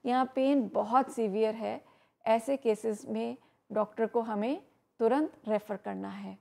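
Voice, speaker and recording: A woman giving health advice on an educational program, explaining steadily with frequent pauses and fillers. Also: fairly high pitch, fairly even intonation, creaky, mellow. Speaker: health expert guest. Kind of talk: educational talk on live program.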